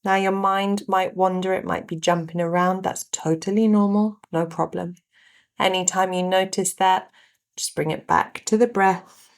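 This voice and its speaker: A woman guiding a meditation, giving gentle instructions in short phrases with pauses between them. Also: medium pitch, fairly even intonation. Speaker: meditation guide. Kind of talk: guided meditation narration.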